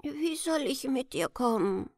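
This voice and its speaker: Little orphan girl for a storybook, played by a woman: high, lilting, innocent, plaintive. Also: lively intonation, a little slow, creaky, no room sound, clean studio sound, heavily acted. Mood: worried. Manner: plaintive, naive questioning. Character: small, weak orphan girl, timid and innocent.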